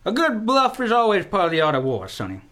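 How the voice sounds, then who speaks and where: A man's voice: high voice, huge pitch swings, fast talker, sharp tone, heavily acted. A man playing a blustering, fatherly army general in a comic radio play, commanding and folksy.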